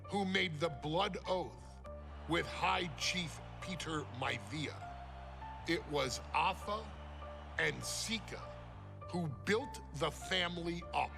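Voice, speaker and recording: A man delivering dramatic scripted narration in a grandiose, reverent manner with emphatic pauses, as the presenter of a showcase. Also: fairly high pitch, gravelly. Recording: music under the voice. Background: music.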